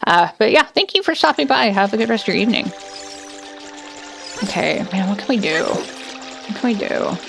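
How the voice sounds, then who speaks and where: A woman's voice: mid-range voice, very animated, moderate pace, vocal fry, pauses often, music in the background. A woman streaming a video game from home, chatting casually and thinking aloud with lots of fillers. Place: home, indoors.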